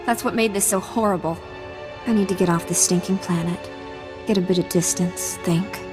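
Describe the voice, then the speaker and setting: A woman playing a hurt, feisty young alien in a video game, with a sharp, indignant manner. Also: fairly low pitch, fairly even intonation, moderate pace, music in the background, natural voice. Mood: wounded.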